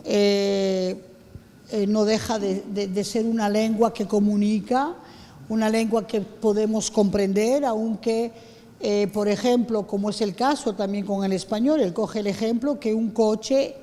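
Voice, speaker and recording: A woman's voice: mid-range voice, fairly even intonation, measured pace, dark tone, long reverb tail. A woman interprets a talk for an audience, hesitating often with fillers. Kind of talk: summarizing translation for an audience. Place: lecture hall.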